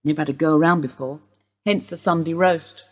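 An actress playing a sharp-tongued, bitter woman in her sixties, wry and sardonic in a radio play. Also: deep voice, steady tone, moderate pace.

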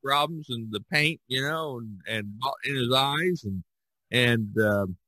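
Bright-voiced man chatting in a dry room. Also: mid-range voice, dry recording. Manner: halting, repetitive storyteller. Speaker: podcast co-host. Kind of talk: casual art discussion.

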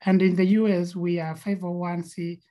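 A woman's voice: lowish voice, little pitch movement, moderate pace, dark tone. A woman with a Kenyan accent, co-founder of a nonprofit, presenting slides on a video call with frequent fillers and repeated words.

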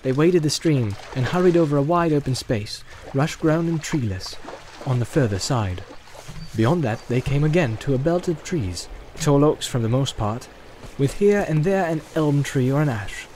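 A man reading a fantasy audiobook aloud, narrating in an even, storytelling delivery. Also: medium pitch, normal speed, slight background noise. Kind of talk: scripted narration.

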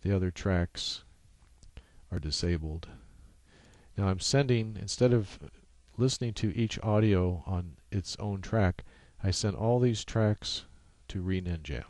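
A man with a low voice narrates a screen-capture tutorial. He explains each step off the cuff, halting as he goes, in a casual way. The sound is dry, with no room to it. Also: a little mellow, dry recording. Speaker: software tutorial presenter. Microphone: USB microphone.

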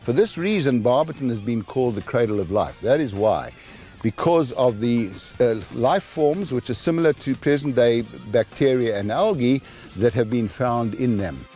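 A man's voice: medium pitch, expressive, moderate pace, warm tone, soft background music. An older man, a geologist, explaining the landscape outdoors in a patient way with many fillers, speaking into a handheld mic. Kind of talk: explanatory field talk. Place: outdoors on a hillside.

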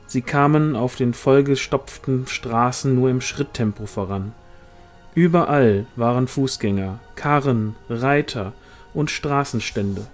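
Guy reading a fantasy audiobook, mid pitched voice, some music behind him. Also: measured pace. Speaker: audiobook reader. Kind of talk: scripted narration of a fantasy novel.

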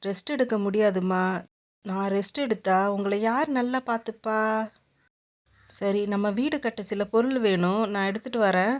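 A woman's voice: mid-range voice, normal speed, close to an everyday voice. A woman voicing a caring, hardworking mother bird, speaking kindly and politely. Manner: kind, nurturing, polite. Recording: dull lo-fi audio.

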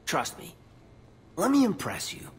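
A man playing a warm, flirtatious soldier in his thirties in a video game, with a soft, breathy North American voice. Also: high-pitched, huge pitch swings, measured pace, full character voice. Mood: teasing, charming. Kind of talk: video game romance acting. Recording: faint game music behind.

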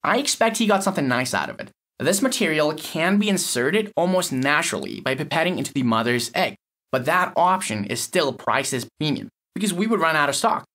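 A man's voice: on the high side, lively intonation, a little fast, bright, forceful tone. A man playing a cynical, blunt lab technician in an audio story, explaining in a matter-of-fact, sardonic way. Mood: blunt, dry. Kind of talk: acted dialogue in an audio short story.

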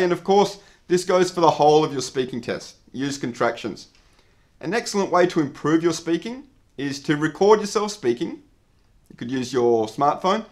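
A man in his thirties speaks straight to camera like a teacher. His voice sits a little high, and he explains each point clearly at an even, measured pace. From Australia. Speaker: English teacher. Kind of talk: scripted lesson to camera. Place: indoor studio with dark backdrop.

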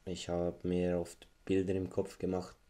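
A man in his thirties with a Swiss German accent and a deep voice. He recalls things casually as an interview guest, pausing thoughtfully to find his words. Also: monotone, dark tone. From Switzerland. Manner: hesitant, thoughtful, searching for words. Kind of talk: casual recollection.

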